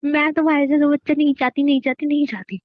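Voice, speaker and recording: A high, young-wife voice acted by a woman, bossy and playfully exasperated. She scolds her husband in natural, everyday audio-drama banter. The recording is slightly dull and lo-fi. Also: flat delivery, quick pace, close to an everyday voice. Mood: playfully angry. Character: irritable, teasing pregnant young wife bossing her husband.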